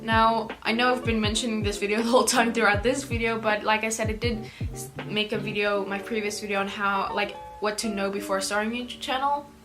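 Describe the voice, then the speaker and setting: A woman's voice: medium pitch, steady tone, fairly quick, music in the background. A teenage South African girl, an Afrikaans speaker, answering viewer questions in a chatty, rambling way with lots of "like". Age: teens. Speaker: YouTuber. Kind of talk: casual Q&A vlog.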